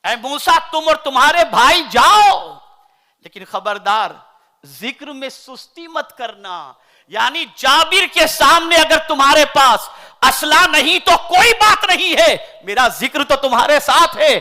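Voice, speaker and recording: A man from India, preaching a sermon in an oratorical style that swings between loud declaiming and soft recitation. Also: very high-pitched, animated, unhurried. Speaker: Islamic preacher.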